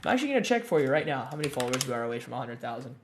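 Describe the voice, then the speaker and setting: A man's voice: mid-range voice, sing-song, rapid-fire, noisy background. A young man streaming live, chatting casually with his viewers and reading their messages aloud, in a laid-back, filler-heavy way. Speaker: gaming streamer.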